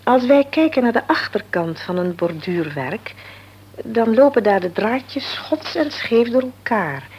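An actress playing a middle-aged mother in a radio drama, explaining things patiently and calmly. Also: on the high side, a little slow, slight background noise. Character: calm, wise mother of an adult daughter.

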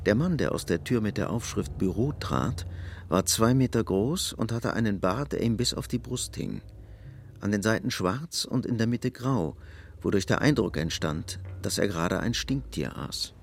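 A man narrating a literary audio drama in a dry, measured storytelling manner. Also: fairly low pitch, lively intonation, creaky. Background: music.

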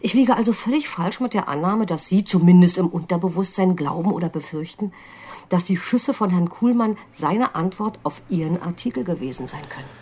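A deep, slightly breathy-rough magistrate in a radio crime drama. She questions with precise, cool insistence and open scepticism. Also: fairly quick, warm tone. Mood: pointed, insistent. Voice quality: low, husky. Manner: precise, insistent, coolly interrogating. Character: probing, sceptical female examining magistrate. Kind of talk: naturalistic German radio crime drama acting.

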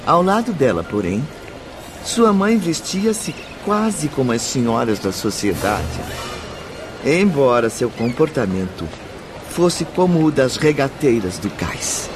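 Expressive male radio-drama narrator. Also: mid-range voice, takes it slowly, music in the background. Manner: expressive, storytelling.

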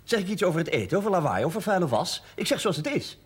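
A man's voice: high voice, very animated, fast talker, slightly rough, exaggerated voice. A man acting in a television drama as a prickly, sarcastic, defensive man with a biting manner. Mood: irritated, defensive. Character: prickly, sarcastic man, a mining company employee.

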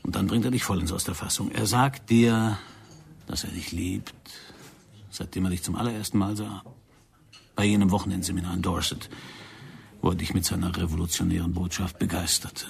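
An actor playing an intense, controlling secret agent in a radio drama, with a husky voice and an insistent, probing, theatrical manner. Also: male voice, sits low, steady tone, a little fast. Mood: seductive, pressing.

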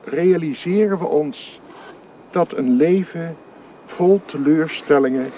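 Radio drama: solemn Protestant minister, grave and oratorical, fairly high male voice, very unhurried, faint noise. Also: dark tone. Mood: solemn preaching. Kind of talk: radio play acting.

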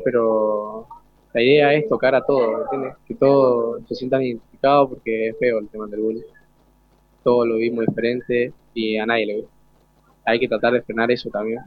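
A man's voice: mid-range voice, no echo. A young Argentine man speaks as a student guest in an informal interview. He is earnest and colloquial, often checking that he is understood. The sound is close and dry, slightly muffled. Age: teens to young adult. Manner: colloquial, earnest, seeks agreement with question tags.

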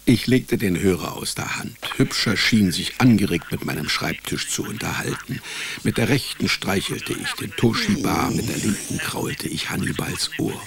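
A man's voice: lowish voice, big pitch swings, normal speed, vocal fry, a crowd in the background. An actor playing a dry, laconic male private detective narrating his own case in a radio drama. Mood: dry, pleased. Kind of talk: scripted first-person radio drama narration.

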